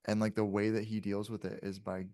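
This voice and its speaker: Young guy w a lowish voice on a podcast, thinking out loud, swears a bit, super dry mic. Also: flat delivery, fairly quick, dry recording. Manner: hedging, reflective, frequent swearing. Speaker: podcast guest. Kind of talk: casual podcast conversation.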